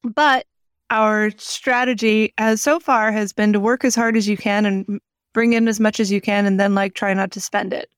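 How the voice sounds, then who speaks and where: A woman podcast co-host with a medium-pitched voice, talking casually and reflectively. The recording is dry, without any room sound. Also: steady tone, normal speed, smooth, no echo. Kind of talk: casual chat.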